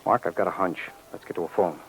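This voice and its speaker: An actor playing a methodical lawman, brisk and matter-of-fact, in 1950s radio police drama style. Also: sits low, steady tone, over loud music, rough recording. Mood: intrigued. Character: methodical, businesslike male lawman on a case.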